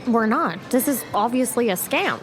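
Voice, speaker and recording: A woman's voice: a little high, lively intonation, moderate pace, music in the background, natural voice. A woman playing a sassy, stubborn young student who bickers in exasperation in an audio drama. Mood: exasperated, sassy. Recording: clear background noise.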